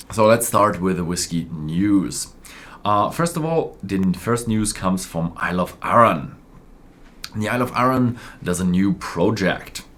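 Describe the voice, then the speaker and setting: Casting: male presenter, deep-pitched voice, for informal news updates with a slightly halting, self-correcting delivery.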